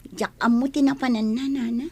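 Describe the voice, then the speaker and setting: A woman's voice: fairly high pitch, steady tone, normal speed, darkish tone, slightly rough. A woman acting a meek, downcast young mother in an audio drama. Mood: meek, sorrowful. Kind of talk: quiet audio drama dialogue.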